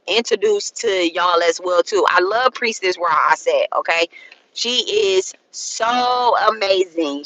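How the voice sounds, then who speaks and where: Bright-voiced woman in her thirties, rambling. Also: medium pitch, dry recording, poor audio. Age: 30s. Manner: rambling, repeats herself, adds "okay?" often; frank and profane, colloquial phrasing. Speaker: spiritual content creator. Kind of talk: casual spiritual talk to viewers.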